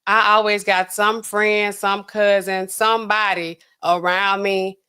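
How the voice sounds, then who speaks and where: A woman, hosting a casual livestream and chatting through viewer comments in a sassy, animated way. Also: medium pitch, takes it slowly, clean tone. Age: 40s. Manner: chatty, sassy, animated swings between loud and hushed. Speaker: livestream host.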